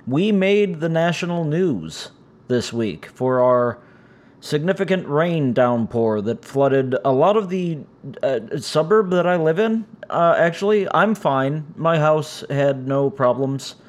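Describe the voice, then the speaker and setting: A man with a raspy, strained voice from injured vocal cords, hosting his own podcast in a rambling, casual way full of fillers. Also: on the high side, lively intonation, slow. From United States. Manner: rambling, frequent "uh" fillers, self-deprecating. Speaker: podcast host. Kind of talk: casual solo podcast chat. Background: cats making noise.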